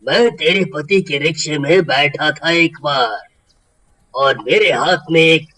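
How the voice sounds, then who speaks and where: A man voicing a solemn, all-knowing forest mystic in a cartoon story, speaking in a grave, measured way. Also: fairly high pitch, bright, forceful tone, exaggerated voice.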